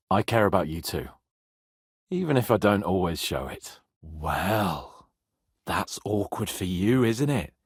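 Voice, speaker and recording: A man's voice: medium pitch, husky, no room sound, clean studio sound. A man playing a cocky, haughty young medieval nobleman in a video game, sardonic, emphatic and brash. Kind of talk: video game character dialogue.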